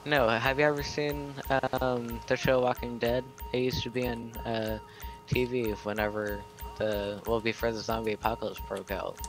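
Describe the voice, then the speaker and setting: A man's voice: mid-range voice, level tone, normal speed, music in the background, rough recording. A man chatting casually in character as a player in an online roleplay game.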